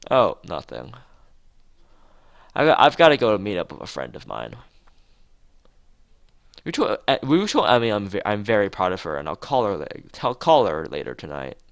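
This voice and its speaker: Man reading as a proud mom, animated delivery. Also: fairly low pitch, normal speed, gravelly, pauses often, no echo. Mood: brisk, fond. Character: proud, chatty mother of a young runner. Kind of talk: visual novel dialogue read aloud. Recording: music behind the voice.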